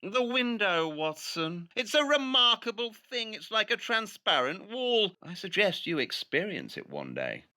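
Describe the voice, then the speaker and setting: An actor playing a brilliant, impatient Victorian detective in an audio drama, brusque, sarcastic and condescending. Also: male voice, sits high, wildly swooping pitch, unhurried, hardly any pauses, bright, forceful tone, exaggerated voice. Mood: brisk, sardonic.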